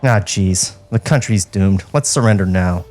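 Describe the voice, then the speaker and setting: A man's voice: low-pitched, unhurried, a little mellow, soft background music. A man hosting a podcast, reading stage directions as comic narration and dropping in wry asides.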